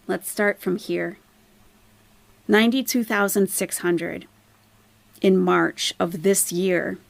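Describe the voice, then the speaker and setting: A woman's voice: mid-range voice, gravelly, no room sound. A woman hosting a casual live commentary stream, explaining things emphatically to viewers and asking rhetorical questions. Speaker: livestream host, YouTube commentator.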